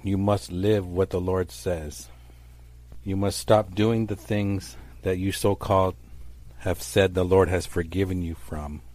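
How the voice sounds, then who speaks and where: A man's voice: low-pitched, level tone, a little slow, darkish tone. A middle-aged man preaching a stern, accusatory fire-and-brimstone sermon in an emphatic, declamatory way.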